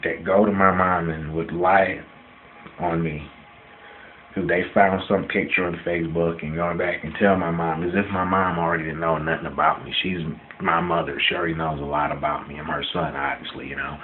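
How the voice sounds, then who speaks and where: A man in his late twenties with a very low voice rants casually about himself. He is blunt and defiant, swearing and hammering his points by repetition. The sound is dull and lo-fi, with faint noise behind him. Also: level tone, normal speed. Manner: blunt, defiant, profane, repeating himself for emphasis. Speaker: vlogger answering questions about himself. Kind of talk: casual personal rant to camera.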